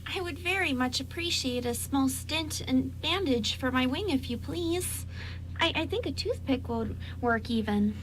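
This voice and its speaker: A woman's voice: high voice, animated, measured pace, a little hiss or hum, rough recording. A woman playing a tiny, polite, cheerful female fairy in an amateur radio drama. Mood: polite, sweet.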